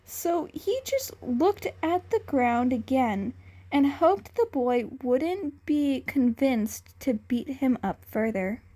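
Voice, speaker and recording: A woman reads fan fiction aloud as a narrator. Her voice is high, and she moves through the scripted story at a slow pace. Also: expressive, warm tone. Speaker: podfic reader.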